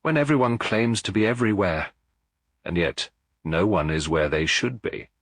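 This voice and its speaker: A man voicing a shrewd, calm Belgian gentleman detective in a mystery audiobook, deliberate, courteous and theatrical. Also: on the low side, dry recording. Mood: quietly probing.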